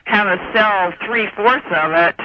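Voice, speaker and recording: A man's voice: high voice, a little slow. A man calling a radio advice show, chatting casually over the telephone. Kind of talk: casual phone conversation. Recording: dull, slightly noisy telephone line.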